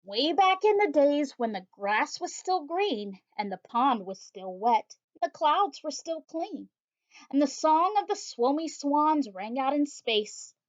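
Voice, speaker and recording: Teacher lady reading a rhymey kids book, high voice, bit muffled audio. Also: takes it slowly. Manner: rhythmic, expressive rhyming read-aloud. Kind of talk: reading a picture book aloud. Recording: slightly dull lo-fi audio.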